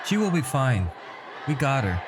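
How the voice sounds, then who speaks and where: Male actor as reassuring game medic, mid pitch, lively intonation, very loud crowd noise. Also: moderate pace, lightly acted. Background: noisy game crowd.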